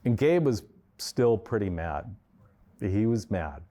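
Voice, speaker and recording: A man's voice: lowish voice, slow. A man telling a story in a documentary interview, quoting others. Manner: animated storytelling, quotes others.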